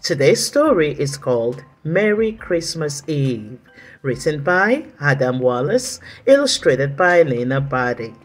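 A woman reading a story aloud for children, deliberately, with dramatic emphasis and drawn-out words. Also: low voice, very animated, faint music behind. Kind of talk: expressive read-aloud narration for children.

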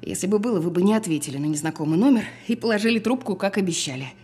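A woman's voice: mid-range voice, lively intonation, very fast, mellow, breathy edge. A woman acting in an audio drama as a weary, despairing, insistent caller who speaks bluntly and with resignation. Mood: insistent, impatient. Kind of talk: audio drama phone dialogue.

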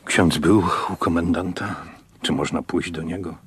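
A weary, very deep-voiced innkeeper. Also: male voice, fairly even intonation, exaggerated voice. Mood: wary, weary. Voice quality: husky. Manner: deliberate, resigned, weighty. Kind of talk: radio drama acting.

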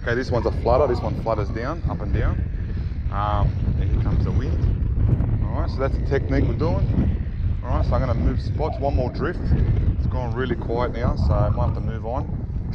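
A man's voice: mid-range voice, normal speed, pauses often. A middle-aged man chatting casually about his fishing gear, filling his talk with "okay" and "all right," recorded on an action camera on a small boat. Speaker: fishing vlogger. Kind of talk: casual commentary while fishing. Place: small boat on a harbour, outdoors. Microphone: action camera on the angler. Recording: dull action-camera audio with wind noise. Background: wind and water noise.

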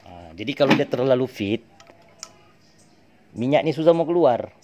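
A man's voice: mid-range voice, animated, normal speed, noisy background. A man giving informal hands-on mechanic's instruction, step by step, repeating phrases for emphasis.

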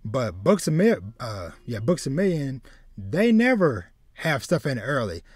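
A man in his thirties hosting a casual podcast chat, halting and repeating words as he starts his sentences. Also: mid-range voice, big pitch swings. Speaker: podcast host. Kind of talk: casual chat about anime and manga. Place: small home room with shelves, video call.

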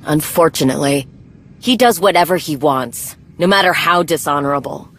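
A woman's voice: low-pitched, moderate pace, a bit bright. A woman playing a stern, vengeful warrior commander in a video game, speaking in terse, weighted lines. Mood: stern, bitter. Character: grim, vengeful female Mandalorian warrior commander. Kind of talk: video game dramatic acting.

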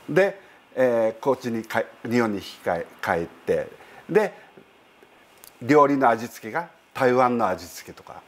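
Older man, lively broken phrasing. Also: medium pitch. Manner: animated, trailing off into short broken phrases. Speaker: coffee shop owner. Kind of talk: interview. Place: his coffee shop.

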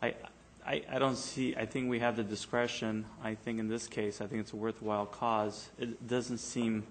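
Council guy, lowish flat voice, keeps restarting his sentences, faint hum in the chamber. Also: moderate pace. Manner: hesitant, repeats words, plainspoken. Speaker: city council member. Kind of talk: council meeting debate.

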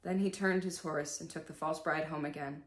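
Low-pitched young woman storyteller, echoey room. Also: steady tone, moderate pace. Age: young adult. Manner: irreverent, swearing in asides. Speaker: storyteller and video host. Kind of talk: dramatic storybook reading with casual asides. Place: indoors, small bedroom.

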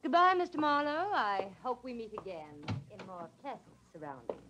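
A woman's voice: fairly high pitch, expressive, normal speed, natural voice. An actress playing a cool, sardonic, haughty rich American woman in a noir radio drama. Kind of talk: 1950s radio noir acting. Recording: vintage radio recording with faint noise.

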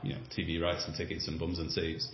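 Very deep-voiced podcast host. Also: male voice, completely flat delivery, fast talker, a little hiss or hum. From Britain or Ireland. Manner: long, reasoned run-on points. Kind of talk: podcast interview. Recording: roomy, slightly dull remote audio.

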